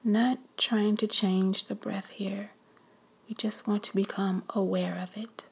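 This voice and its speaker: A woman whispering softly as she leads a guided meditation. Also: medium pitch, level tone, vocal fry, breathy edge, poor audio. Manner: whispered, slow and soothing.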